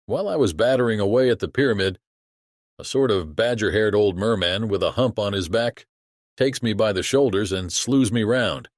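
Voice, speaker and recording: Man playing a low-voiced salty sailor yarning. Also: moderate pace, dry recording, clean studio sound, natural voice. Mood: animated musing. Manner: colloquial, exclamatory storytelling. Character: salty, chatty sailor spinning a yarn to a shipmate. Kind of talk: dramatized audiobook acting.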